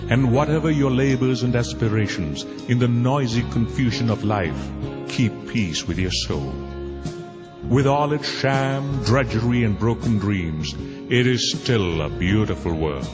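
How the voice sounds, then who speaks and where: A man's voice: mid-range voice, animated, a little slow. A man giving a solemn recitation of a poem. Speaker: poetry reader. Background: music.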